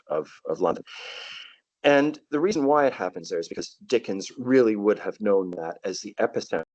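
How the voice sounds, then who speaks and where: Brief: middle-aged Canadian man, low voice with animated pitch, dry close sound, thoughtful storyteller. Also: no room sound, poor audio. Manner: thoughtful, storytelling, repeats words at starts. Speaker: guest, tour guide. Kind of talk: casual podcast interview.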